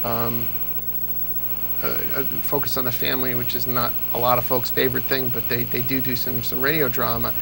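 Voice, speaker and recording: A man's voice: mid-range voice, little pitch movement, brisk, vocal fry, background noise. A man being interviewed as an old-time radio fan, talking with enthusiasm and frequent restarts. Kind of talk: casual interview chat.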